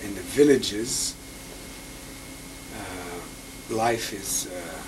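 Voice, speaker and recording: A man's voice: deep voice, very slow. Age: 60s. Sex: man. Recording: distant, noisy tape. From Egypt. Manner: patient explaining, moves about handling instruments. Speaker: musician and lecturer. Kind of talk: instrument demonstration and interview. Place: indoor room full of instruments, then an office with bookshelves. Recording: distant, hissy old videotape.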